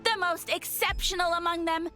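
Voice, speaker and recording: A woman's voice: very high-pitched, steady tone, moderate pace, bright, forceful tone. A woman voicing a pompous, self-important little mushroom sage in a video game, making grandiose, haughty proclamations. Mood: solemn, self-important. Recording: music behind the voice.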